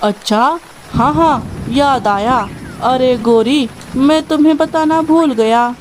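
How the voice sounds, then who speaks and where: A woman's voice: high voice, slow, slight background noise. A woman voicing a grumbling father bird in a children's cartoon, with a chiding, exasperated manner. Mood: explaining.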